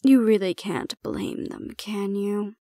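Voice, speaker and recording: A woman playing a composed, stern female supervisor in an audio roleplay, speaking in a measured, formal and deliberate way. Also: medium pitch, fairly even intonation, dark tone, no room sound, studio quality. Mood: calm, formal. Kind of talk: scripted audio roleplay acting.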